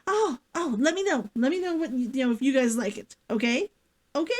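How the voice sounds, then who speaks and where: A woman hosting a read-along stream, talking to viewers and reading a story as an excitable vtuber. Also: sits high, a little fast. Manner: giddy, giggly, gushing and repetitive while chatting.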